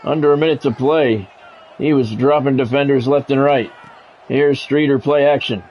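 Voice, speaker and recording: A man's voice: mid-range voice, fairly even intonation, normal speed, highs cut off, slight background noise. A man doing play-by-play of his own football video game in short, blunt phrases, mixed with casual gamer talk.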